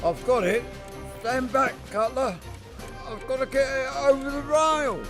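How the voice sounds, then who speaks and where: A man's voice: very high-pitched, steady tone, measured pace, music in the background. An amateur actor playing a cool-headed male secret agent in a radio drama, giving urgent, clipped commands.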